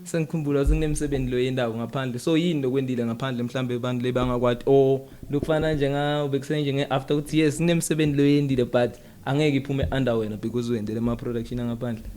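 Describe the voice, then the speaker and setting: A man's voice: medium pitch, fairly even intonation, rapid-fire, few pauses, dark tone. A man with a South African accent interviewing informally, switching between languages mid-sentence. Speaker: interview host. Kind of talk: casual code-switching interview.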